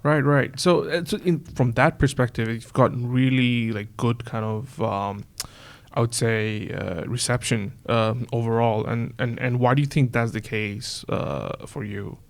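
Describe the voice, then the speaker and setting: A man's voice: mid-range voice, fairly even intonation, unhurried, vocal fry. Man asking rambling interview questions. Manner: rambling questions with many fillers and restarts. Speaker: podcast host.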